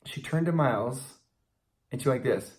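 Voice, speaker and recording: A man's voice: medium pitch, lively intonation, moderate pace, darkish tone. An American man in his forties in casual, joking banter with his partner as co-host of a fan video. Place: indoors in front of a logo backdrop.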